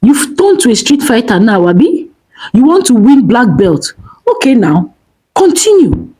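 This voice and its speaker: A woman's voice: on the high side, sing-song, unhurried, dark tone, husky, pitched above the performer's own voice, full character voice. A woman doing a scornful cheating husband. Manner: mocking, scornful. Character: smooth dismissive married man, a serial cheat.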